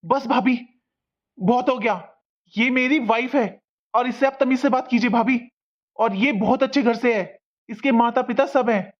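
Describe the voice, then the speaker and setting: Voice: medium pitch, normal speed, natural voice. An actor playing a defiant young newlywed son in a cartoon family melodrama, earnest, firm and insistent. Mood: defiant, angry. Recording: dull lo-fi sound.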